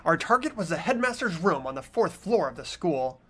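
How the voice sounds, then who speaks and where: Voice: mid-range voice, very animated, a little fast, a bit bright. A performer voicing an earnest, hesitant teenage boy who narrates a video game story reflectively. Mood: brooding. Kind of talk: scripted game narration and dialogue, amateur voice acting.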